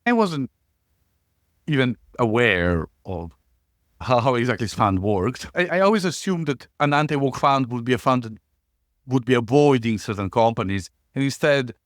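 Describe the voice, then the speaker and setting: A man's voice: mid-range voice, expressive, moderate pace, no echo, studio quality. A man with an Italian accent co-hosting a finance discussion, often restarting and tagging his points with "right?". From Italy. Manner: stammering restarts, frequent "right?" tags. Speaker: finance professor, co-host. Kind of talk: casual academic discussion.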